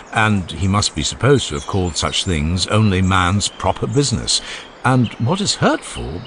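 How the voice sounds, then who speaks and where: A proud older Athenian man, as a male narrator plays him argues his case in a low voice. He is formal, insistent and easily irritated, with emphatic rises and falls, in a dramatized audiobook with faint noise behind. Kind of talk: dramatized philosophical dialogue audiobook.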